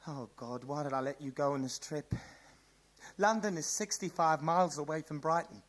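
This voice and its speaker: A man's voice: a little high, normal speed, natural voice. A man plays an anxious father in a radio drama. He talks in a fretful, caring way, as a protective parent who worries over his child. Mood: worried, fretful.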